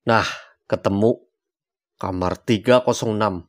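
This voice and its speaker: A man's voice: on the low side, steady tone, unhurried, dry recording, studio quality, natural voice. A man with a Jakarta accent playing a resolute young amateur investigator in a cartoon ghost story. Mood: conspiratorial. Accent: Jakarta Indonesian. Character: resolute young male friend investigating a haunting. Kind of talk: animated horror-comedy voice acting.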